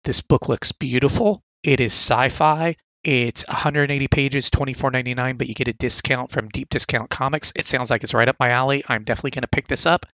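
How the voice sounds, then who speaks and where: A man's voice: mid-range voice, fairly even intonation, fairly quick, few pauses, a bit bright, no echo. A man with a sore throat casually reviewing comic catalog picks, reading blurbs aloud with enthusiastic asides.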